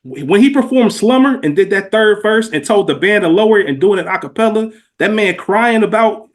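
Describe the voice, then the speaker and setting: A middle-aged man with a fairly high voice chats as a podcast guest. He is animated and emphatic, using slang and repeating words to drive a point home. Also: a little fast. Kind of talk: casual chat, storytelling.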